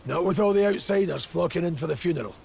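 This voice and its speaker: Gravelly, pragmatic soldier. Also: male voice, fairly high pitch, darkish tone, slight background noise. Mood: dry, pragmatic. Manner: brisk, commanding. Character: seasoned, pragmatic male soldier leading comrades, gruff. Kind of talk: video game voice acting.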